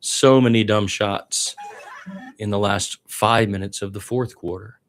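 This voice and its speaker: A man with a fairly low voice commentates a basketball game live, radio style. He follows the action in short calls and adds exasperated, partisan remarks as a fan of one team. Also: steady tone, a little slow, slight background noise.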